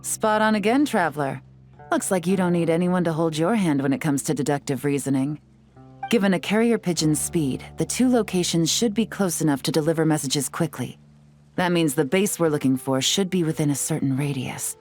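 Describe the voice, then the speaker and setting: Game dub: a confident young spy, low voice, calm, composed and quietly teasing. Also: female voice, brisk. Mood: cool. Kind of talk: video game cutscene dub. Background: faint game music.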